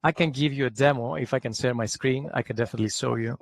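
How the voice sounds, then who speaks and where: A man who founded a company, calmly explaining his work as an interview guest. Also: medium pitch, fast, gravelly, a little hiss or hum.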